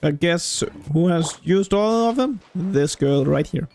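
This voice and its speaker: A man's voice: on the high side, animated, unhurried, darkish tone. A young man doing casual, rambling gameplay commentary, thinking aloud in an informal way. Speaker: video game let's-play host. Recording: game music behind commentary.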